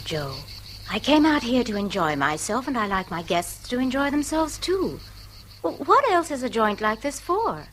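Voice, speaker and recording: Sociable young hostess, highish voice, swooping intonation, breezy, noisy radio drama recording. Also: female voice, fairly bright tone, exaggerated voice. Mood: fretful. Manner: breezy, teasing. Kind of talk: radio play acting.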